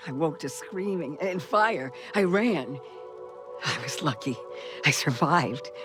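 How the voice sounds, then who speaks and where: An actor playing a shaken, subdued male survivor in a fantasy video game, halting and haunted. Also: a little high, animated, over music.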